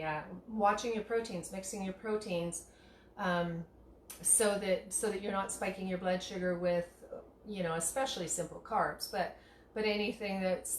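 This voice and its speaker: A woman chatting casually while cooking at home, rambling with many fillers and restarts, her voice slightly hoarse. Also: lowish voice, roomy sound, slight background noise. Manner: laughs at herself. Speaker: tea shop owner and cooking vlogger. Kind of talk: casual kitchen demo chat. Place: home kitchen.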